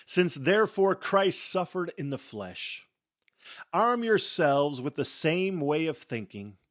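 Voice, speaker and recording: Male scripture reader, higher-set voice, unhurried and solemn, theatrical emphasis, weighty pauses. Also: very animated, a bit bright. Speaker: Bible reader. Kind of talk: dramatic scripture reading.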